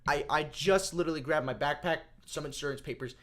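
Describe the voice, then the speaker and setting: Bright-voiced young man telling a story. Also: fairly high pitch, brisk. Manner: animated storytelling with swearing and restarts. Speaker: podcast co-host. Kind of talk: casual chat.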